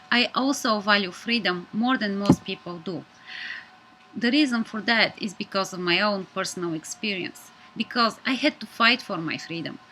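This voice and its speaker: A woman in her thirties from Bulgaria, speaking English with a Bulgarian accent, giving an earnest, measured personal presentation as a media student. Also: mid-range voice, bright, forceful tone, a little hiss or hum, poor audio.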